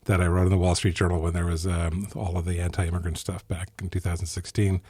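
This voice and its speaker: A man's voice: deep voice, level tone, quick pace, dark tone, breathy edge, dry recording. A middle-aged man from Ethiopia, a physician turned executive, answering interview questions in a thoughtful, meandering way with frequent restarts. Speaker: physician and business executive guest.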